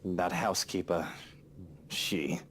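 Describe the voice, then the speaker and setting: A man's voice: sits low, slow pace, fairly bright tone, a little hiss or hum, voice pushed down. A man voicing a proud but rattled young aristocrat who stammers and swears when startled. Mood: uneasy.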